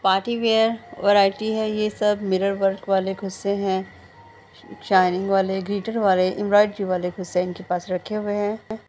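A woman's voice: mid-range voice, flat delivery, moderate pace, a little mellow. Woman vlogger over noisy background. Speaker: shopping vlogger. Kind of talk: vlog narration of market stalls and prices.

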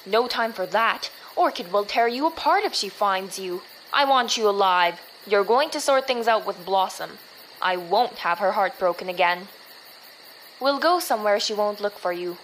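A woman's voice: mid-range voice, lively intonation, moderate pace, a bit bright, a little hiss or hum, lightly acted, poor audio. A woman playing a fierce, protective young swordswoman in an audio drama, commanding and clipped. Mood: stern, commanding.

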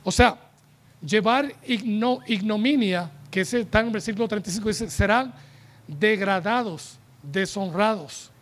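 A man in his forties preaches from the pulpit of a church tent, his voice sitting very high for a man. He holds a handheld microphone and speaks in an animated, joking way, turning to the congregation for responses. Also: a little slow. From United States. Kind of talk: sermon.

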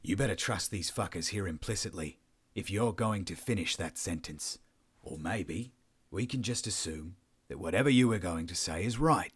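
A man's voice: low-pitched, moderate pace, husky. A sullen, defiant man held captive, in an audiobook story acted out by a male narrator. He is insolent and foul-mouthed, and he snarls his lines at whoever is questioning him. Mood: bitter, insolent.

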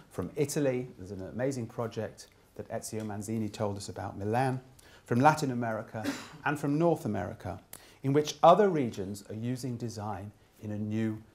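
A man in his fifties delivering a keynote speech, summing up from notes and often halting to repeat words. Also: fairly low pitch, darkish tone. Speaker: keynote speaker summing up a design conference. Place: indoor room with plain wall.

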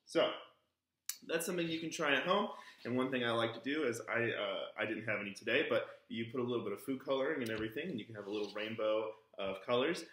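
A man's voice: medium pitch, fast talker. Chatty man in an echoey kitchen. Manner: relaxed, chatty, with frequent ums and uhs. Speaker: music teacher. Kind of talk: casual home music lesson. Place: home kitchen, indoors. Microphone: camera on the table in front of him. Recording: echoey kitchen with faint noise. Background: glasses clinking.

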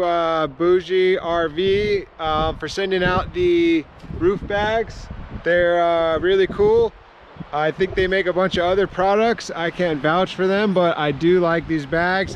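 A man in his thirties casually reviewing gear outdoors beside his van, relaxed and full of fillers. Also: high-pitched, highs cut off, a little hiss or hum. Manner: relaxed, filler-heavy, easygoing. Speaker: vlogger.

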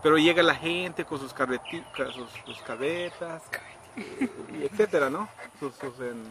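Animated man telling a story outdoors. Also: a little slow. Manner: animated, acts out quoted voices with sudden high pitch. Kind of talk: casual storytelling. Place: outdoors under trees in a rural yard. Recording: outdoor noise in background.

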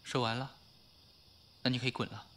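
A man's voice: medium pitch, fairly even intonation, brisk, fairly bright tone, in a large hall, a little hiss or hum, close to an everyday voice. A man acting a proud, wounded young man in an audio drama, with a curt, guarded manner. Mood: cold, bitter. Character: proud, wounded young man keeping his ex at arm's length.

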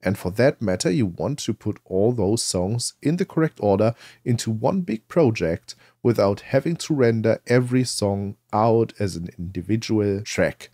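A man presenting a software tutorial, explaining things step by step into a close microphone. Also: lowish voice, dry recording.

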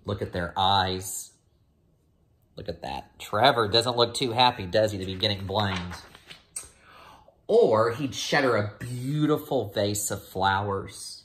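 A man's voice: on the low side, takes it slowly, fairly bright tone. An American man in his thirties, a teacher reading a picture book aloud with casual asides. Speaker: teacher reading to first graders. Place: small room at home. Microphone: phone or laptop at arm's length.

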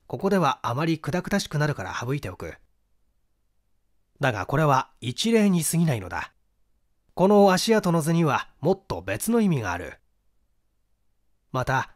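A man reading a scripted mystery novel as narrator, in a measured, formal and precise manner. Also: fairly high pitch. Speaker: audiobook reader, stage actor.

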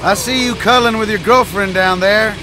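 An actor playing a brash middle-aged American drifter in a video game, talking in a taunting, cocky, folksy way. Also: male voice, high-pitched, normal speed, noisy background, natural voice. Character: brash, needling redneck drifter in a pickup truck. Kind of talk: naturalistic video game acting.

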